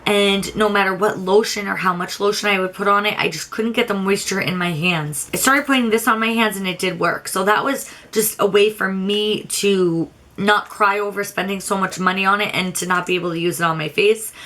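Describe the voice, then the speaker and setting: A young adult woman beauty vlogger talking casually through product reviews, candid and rambling with frequent restarts, her voice a little raspy. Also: medium pitch, fairly even intonation, brisk, few pauses, fairly bright tone. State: slightly raspy voice.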